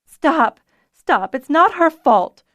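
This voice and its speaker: A woman's voice: fairly high pitch, expressive, measured pace, dry recording, studio quality. A woman voicing an intense, grieving young woman with a demanding, emotional manner, in an audiobook story. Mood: insistent.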